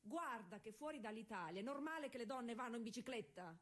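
An actress playing a proud, eager young woman racing cyclist of the early 1900s in a conversational, insistent stage monologue, with a husky voice. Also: fairly high pitch, expressive, fairly quick, fairly bright tone. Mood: proud, boastful. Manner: explanatory, conversational, insistent with repeated checks. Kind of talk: theatrical monologue addressed to an unseen listener.

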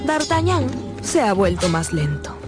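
A woman's voice: medium pitch, sing-song, a little slow. A woman delivering scripted dramatic narration for a radio drama. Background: music.

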